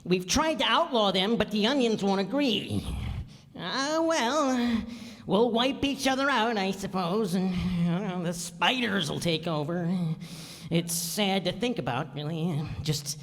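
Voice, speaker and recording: A woman plays a dignified but dithery carrot ruler in a radio comedy. The delivery is unhurried, formal and halting, with hall reverb around it. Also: medium pitch, lively intonation. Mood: wistful, resigned. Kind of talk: old-time radio comedy acting. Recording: reverberant hall stage recording.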